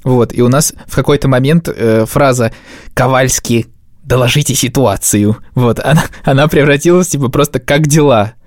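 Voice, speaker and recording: A man's voice: medium pitch, moderate pace, dry recording, clean studio sound. A man in his thirties hosting a podcast, talking in a casual, conversational way. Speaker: podcast host. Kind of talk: casual chat.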